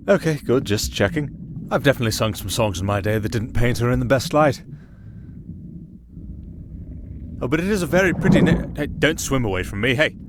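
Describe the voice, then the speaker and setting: Casting: male actor as a sarcastic, exasperated young travelling performer, nervy and reluctant, fantasy audio roleplay, mid-pitched, noisy backdrop. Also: lightly acted. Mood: curious, chatty. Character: young male wandering entertainer, sardonic, reluctant, nervy castaway. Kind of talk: ASMR roleplay acting, one-sided dialogue.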